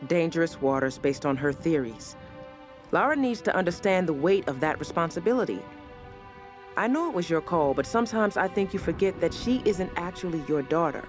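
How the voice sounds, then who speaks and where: A woman's voice: fairly low pitch, natural voice. Tough, skeptical female ship's officer voiced by a woman for a game. Firm, blunt, no-nonsense, light music underneath. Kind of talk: video game audio letter.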